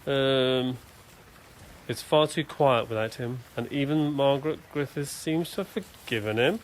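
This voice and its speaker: An actor playing a fond young corporal and father-to-be in a war radio drama, with a gentle, hesitant delivery. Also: medium pitch, measured pace, lightly acted. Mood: fond, wistful.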